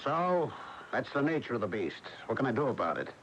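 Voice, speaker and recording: An actor playing a defensive, high-strung middle-aged workaholic husband with an American accent in a radio drama. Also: male voice, very animated, brisk, fairly bright tone. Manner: agitated, defensive, sardonic. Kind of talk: 1980 radio drama acting and narration. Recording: noisy dull AM radio broadcast tape.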